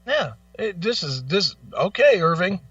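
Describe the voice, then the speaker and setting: A man's voice: high-pitched, big pitch swings, measured pace, fairly bright tone, dry recording, recorded from a distance, dull audio. A man hosting a talk show with blunt, emphatic, opinionated commentary, casual in style and repeating phrases for stress.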